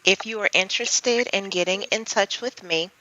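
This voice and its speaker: A woman poet and performer, a guest on a radio talk show, with a soft, sweet, sensual voice and a relaxed way of talking. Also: mid-range voice, dull audio, background noise. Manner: relaxed, spells things out slowly. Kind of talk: casual radio interview.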